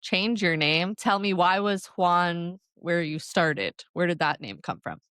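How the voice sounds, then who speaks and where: A woman's voice: lowish voice, unhurried, a bit bright, smooth, no echo, clean studio sound. A woman interviewing a guest in a casual conversation, asking curious follow-up questions.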